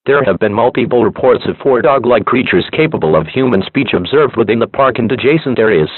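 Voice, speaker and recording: Husky synthetic male alert announcer, muffled audio. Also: on the low side, dry recording. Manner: formal, impersonal, measured reading. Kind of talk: scripted emergency alert and weather broadcast. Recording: dull lo-fi radio-style broadcast audio.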